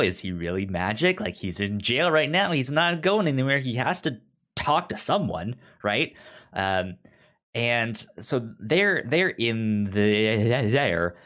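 A man with a fairly low voice talks through a book casually as a podcast co-host. He takes his time, speaking slowly and often stammering or restarting words as he goes. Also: fairly bright tone.

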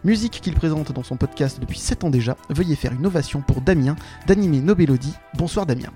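A man's voice: on the high side, lively intonation, fast talker, mellow. A man hosting a live show in a playful, mock-grandiose presenting style. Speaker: podcast host. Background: background music.

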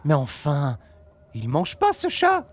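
A woman playing a playful, impatient gust of wind in an audio fiction, with an expressive, theatrical delivery. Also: deep voice, takes it slowly, exaggerated voice. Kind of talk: French audio fiction acting.

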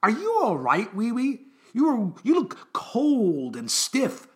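A man's voice: very high voice, wildly swooping pitch, takes it slowly, voice pushed up, full character voice. A man voicing a lonely, childlike storybook creature in an exaggerated, friendly, plaintive sing-song. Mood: friendly, cooing.

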